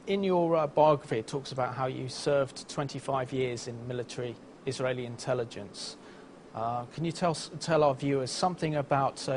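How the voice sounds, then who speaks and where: A man's voice: mid-range voice, a little mellow, slight background noise. A man hosting an interview, asking formal, polite questions with hesitant restarts. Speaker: interview host.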